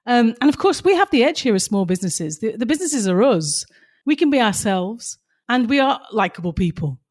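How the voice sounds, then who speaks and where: A woman's voice: fairly high pitch, very animated, brisk, darkish tone. A woman co-hosting a podcast, telling stories in a passionate, emphatic way, her voice slightly strained as if she is losing it. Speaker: podcast co-host. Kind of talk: casual podcast chat with stories.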